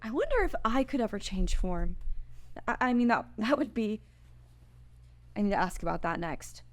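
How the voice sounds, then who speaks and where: A woman's voice: medium pitch, big pitch swings, moderate pace, lightly acted. A woman actor reading an anxious, bewildered modern young woman at a screenplay table read. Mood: curious, eager.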